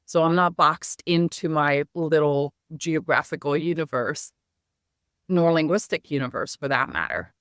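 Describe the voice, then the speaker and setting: A middle-aged woman who grew up speaking Hungarian, now with an American accent, telling her career story in a measured, reflective way as a podcast guest. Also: sits low, a bit bright, no echo, clean studio sound. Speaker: guest, company founder. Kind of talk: podcast interview.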